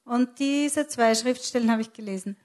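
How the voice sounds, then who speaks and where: Very high-voiced woman interpreting a sermon. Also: normal speed, a little mellow. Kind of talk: live consecutive interpreting of a sermon.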